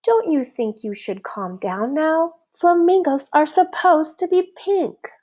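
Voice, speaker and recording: A woman's voice: high voice, slow pace, warm tone, voice pushed up. A woman voicing disapproving flamingo friends in a storybook, speaking in a scolding, emphatic way.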